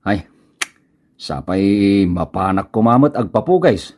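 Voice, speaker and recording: A man acting an older grumbling uncle in an Ilocano comedy radio drama, with an Ilocano Filipino accent and expressive colloquial delivery. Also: low voice, a little slow, a little hiss or hum.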